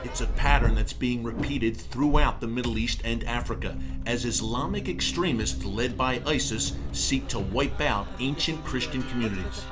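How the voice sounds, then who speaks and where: A man's voice: mid-range voice, moderate pace, few pauses. A man reading scripted documentary narration for a promotional piece. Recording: loud music under the voice. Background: loud music.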